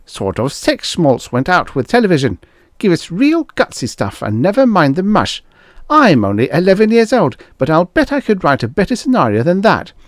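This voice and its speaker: Brash young boy voiced by a man, very high pitch, loud, scornful, dry close sound. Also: huge pitch swings, moderate pace, no room sound. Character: eleven-year-old boy letter writer, brash.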